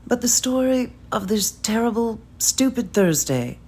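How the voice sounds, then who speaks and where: A woman giving a scripted literary narration, reading a story in measured, deliberate phrases with pauses. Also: a little high, big pitch swings, a little mellow, breathy edge.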